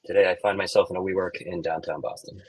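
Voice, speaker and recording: Deep, husky-voiced American man. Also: steady tone, fast. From United States. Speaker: webinar guest.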